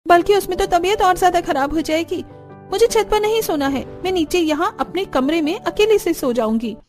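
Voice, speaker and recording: A woman's voice: very high-pitched, lively intonation, fairly quick, darkish tone, soft background music, pitched above the performer's own voice. A woman voicing a young village daughter-in-law in a cartoon, complaining in a dramatic, exasperated way. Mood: pleading, defiant.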